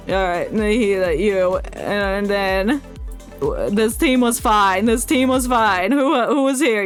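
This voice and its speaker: Female game streamer, high voice, unhurried but exasperated and foul-mouthed, light music underneath. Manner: profane, exasperated ribbing of the game. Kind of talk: live gameplay commentary, muttered and exclaimed.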